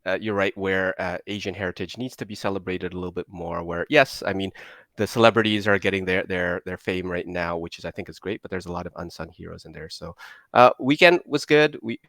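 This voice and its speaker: Man from Indonesia, interview guest on a video call, very deep, dry close sound, cheerful, stammers and restarts. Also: a little fast, a bit bright, no room sound. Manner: upbeat, stammering restarts, self-deprecating. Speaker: guest entrepreneur. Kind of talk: interview conversation.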